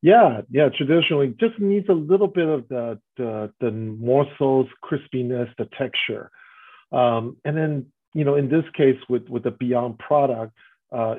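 A husky, slightly breathy man from Taiwan heard over a thin, phone-like line. He answers as a restaurant guest in an interview, explaining carefully and often adding a quick "right?" to his points. His pitch sits in the middle range for a man.

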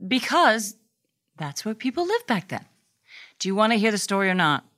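A slightly exasperated mom in a comedy audio play. Her voice is mid-pitched and very expressive, gently dramatic like bedtime storytelling. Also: moderate pace.

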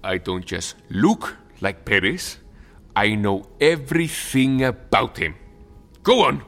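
Exasperated rebel leader for radio play, forceful bright tone, hugely varied intonation. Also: male voice, lowish voice, sing-song, takes it slowly, faint music behind, heavily acted. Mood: earnest, pleading. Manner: emphatic, exasperated, melodramatic. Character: indignant, proud, pleading male revolutionary leader. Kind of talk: audio drama acting.

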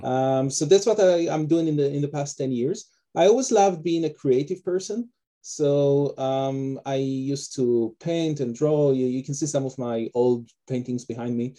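A man from Israel with an Israeli accent, a guest author talking casually on a video call from his home office, with many pauses and fillers. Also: mid-range voice, warm tone. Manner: hesitant, many fillers and restarts. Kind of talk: casual interview talk.

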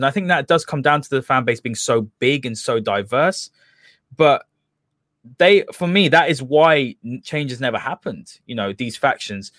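A man's voice: medium pitch, fairly bright tone, dry recording, clean studio sound. A man with a London accent hosting a football podcast, talking in a casual, opinionated discussion style. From London area, England.

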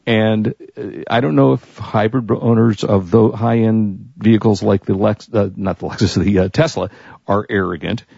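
Creaky, low-voiced American man hosting. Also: fairly even intonation, moderate pace, warm tone, no room sound. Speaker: talk show host. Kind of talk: casual tech and consumer news chat. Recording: dull lo-fi audio.

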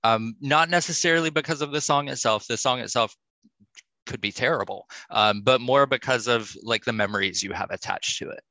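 A man's voice: medium pitch, a little fast, pushed and bright, no room sound. A man hosting a casual podcast interview, putting questions to a guest in an encouraging way. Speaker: podcast host. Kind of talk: casual interview, asking quick-fire questions.

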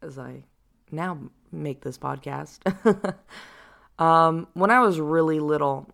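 Deep-voiced woman with a gravelly edge. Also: slow pace, a bit bright. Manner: casual, rambling, many fillers and restarts. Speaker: podcast host. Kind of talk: personal reflective podcast monologue.

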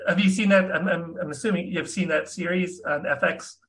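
Bright, higher-voiced male host. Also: steady tone, brisk. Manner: curious, self-deprecating questions with repeated restarts. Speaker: podcast host. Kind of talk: casual interview.

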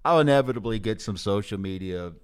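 An American man hosting a sports podcast in a casual, animated, conversational way. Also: on the low side, brisk, smooth. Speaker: podcast host, sports broadcaster. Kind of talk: casual podcast interview chat.

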